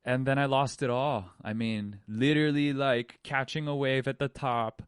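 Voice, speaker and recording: A man's voice: medium pitch, steady tone, moderate pace, clear, resonant tone, no room sound, clean studio sound. A man, hosting a podcast and talking candidly in a rambling monologue full of restarts. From Hawaii. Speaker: podcast host. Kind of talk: reflective personal monologue.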